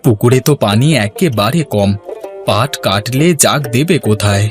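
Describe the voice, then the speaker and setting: A man's voice: fairly low pitch, lively intonation, normal speed, natural voice. A man playing a patient, hardworking village farmer, husband and father in a folk-tale cartoon dub. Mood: matter-of-fact. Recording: music behind the voice.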